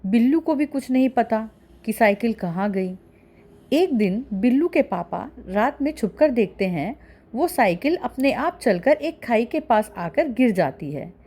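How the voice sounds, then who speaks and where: High-pitched woman telling a children's story. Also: animated, unhurried, dark tone.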